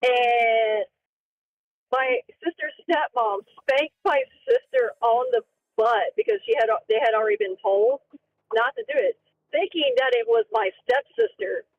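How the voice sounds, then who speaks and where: A man's voice: very high-pitched, animated. A man on a livestream, telling a story in casual chat and laughing along with it. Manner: laughing storyteller, quick reactions. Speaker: streamer. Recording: dull lo-fi mic.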